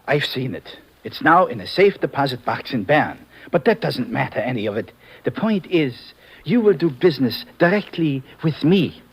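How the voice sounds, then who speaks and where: Lively German-accented radio schemer. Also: male voice, mid-range voice, moderate pace, slightly rough. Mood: businesslike, smug. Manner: suave, oily, formal. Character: sly, greedy, smug male European document broker. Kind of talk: 1950s radio drama acting.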